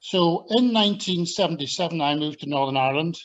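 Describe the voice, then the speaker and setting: An older man from Scotland with a Scottish accent, giving a measured, thoughtful talk to a church audience, often pausing with ums and restarting sentences. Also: fairly high pitch, animated, muffled recording. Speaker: church talk speaker, former agriculture lecturer. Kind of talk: presenting a talk with slides.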